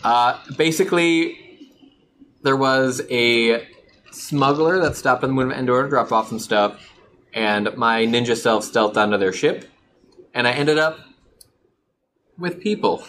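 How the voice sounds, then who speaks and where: A man's voice: medium pitch, a little slow, long pauses, a bit bright, slight background noise. A man playing in a tabletop game, talking casually and laughing easily. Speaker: player. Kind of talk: casual chat.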